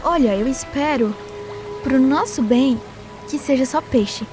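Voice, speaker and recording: A woman's voice: high voice, measured pace. A woman playing an apprehensive, observant young survivor in an audio drama. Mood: worried hope. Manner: apprehensive, earnest. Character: anxious, observant young women stranded among dinosaurs. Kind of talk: audio drama character acting. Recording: music behind the voice.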